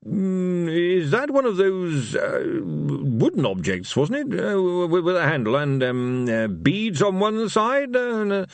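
Man voicing a doddery, absent-minded old god, swooping pitch. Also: very animated, takes it slowly, few pauses, dry recording, heavily acted. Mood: muddled, searching. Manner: hesitant, searching for words. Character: elderly, absent-minded, fumbling male god, mildly grand.

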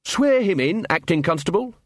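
Man playing an earnest, high-pitched watch officer. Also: animated, normal speed, dry recording, clean studio sound. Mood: brisk, composed.

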